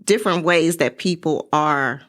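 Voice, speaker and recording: Middle aged lady being interviewed, lowish voice, chatty and animated when she tells stuff. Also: measured pace. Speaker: playwright and interview guest. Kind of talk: casual interview chat.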